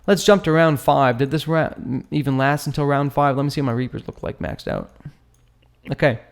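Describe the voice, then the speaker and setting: Guy doing casual game commentary, normal pitch, slangy and jokey, breaking down battle stuff for his channel. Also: animated, brisk, vocal fry, clean tone. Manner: informal, jokey, slangy asides. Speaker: host of a gaming channel. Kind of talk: casual gameplay commentary, analysing a battle report.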